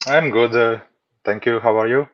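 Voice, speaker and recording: A man's voice: medium pitch, normal speed, dry recording. Latvian-born man, dry lo-fi sound. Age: 30s. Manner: relaxed, rambling, many fillers like "you know". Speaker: funded trader, guest. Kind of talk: casual interview answers. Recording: dull dry lo-fi audio.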